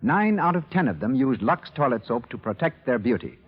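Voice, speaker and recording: A man hosting a 1930s radio show, delivering scripted announcements and sponsor pitches with formal showmanship. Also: big pitch swings, normal speed, breathy edge. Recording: muffled vintage 1930s radio recording.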